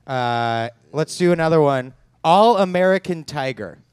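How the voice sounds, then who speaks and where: A man's voice: on the high side, expressive, measured pace, darkish tone, clear, resonant tone, dry recording. A man running a comedy quiz game on a live podcast stage, dry and jokey, speaking into a handheld mic. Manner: dry jokey quizmaster, chuckling. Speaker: game segment host. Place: stage before live audience.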